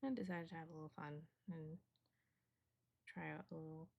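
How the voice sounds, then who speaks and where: Female hobby streamer, soft-spoken and hesitant, low voice with vocal fry, close desk mic. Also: fairly even intonation, brisk, muffled recording. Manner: hesitant, rambling with fillers. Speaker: miniature painting streamer. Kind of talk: casual live-stream chat. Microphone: desk mic with boosted gain.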